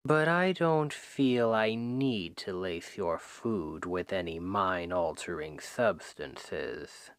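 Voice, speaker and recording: A man playing a suave, menacing, possessive demon king who woos his captive with slow, deliberate, softly condescending speech in an ASMR roleplay, close on the microphone. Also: sits low, lively intonation, smooth, dry recording, exaggerated voice. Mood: smug menace. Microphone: close microphone, dry.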